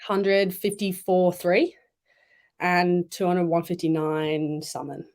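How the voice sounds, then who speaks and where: A young woman from Thailand and Malaysia talks casually as a guest, her voice sitting fairly low for a woman. She rambles easily, with plenty of "like" and "um" between her thoughts. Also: takes it slowly. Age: young adult. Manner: chatty, relaxed, many fillers and "like"s. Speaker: guest swimmer. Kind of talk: casual interview chat.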